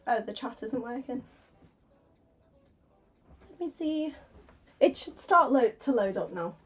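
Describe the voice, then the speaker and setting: A woman's voice: on the high side, expressive, slow pace, fairly bright tone. Chatty woman with sore throat. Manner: chatty, giggly, a little nervous. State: sore throat, slightly hoarse. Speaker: artist streaming a painting session. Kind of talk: casual livestream chat. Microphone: desk microphone.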